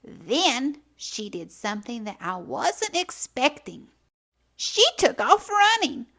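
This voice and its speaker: A woman hosting a children's storytime, reading aloud expressively with theatrical, deliberate phrasing. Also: high-pitched, big pitch swings, fairly bright tone. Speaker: children's storytime host and narrator.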